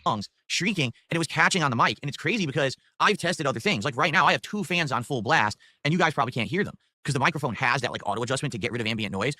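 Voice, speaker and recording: A man chatting in a casual stream monologue, words tumbling out very fast. His voice is bright and a little pressed. The sound is close and completely dry, like a desk microphone in a home room. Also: mid-range voice, lively intonation, dry recording. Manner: rambling explanation with many fillers. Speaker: streamer being reacted to. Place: home room with window open and fans running. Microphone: studio desk microphone.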